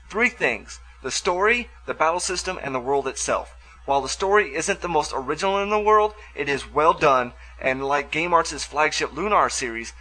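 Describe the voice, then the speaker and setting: A man's voice: on the high side, sharp tone, poor audio. A man hosting a review show, reading scripted commentary on video games with music behind him.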